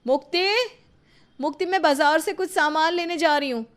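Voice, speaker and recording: A woman voicing a sly, bossy elder sister-in-law who wheedles and gives breezy orders in an animated story. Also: sits high, normal speed, voice pushed up, natural voice. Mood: bossy, dismissive.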